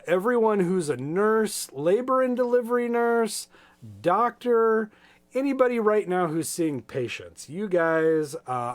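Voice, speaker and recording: A middle-aged American man hosting a children's storytime stream with casual, friendly, rambling and hesitant talk. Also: fairly high pitch. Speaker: volunteer storytime host for children. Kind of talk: casual livestream chat.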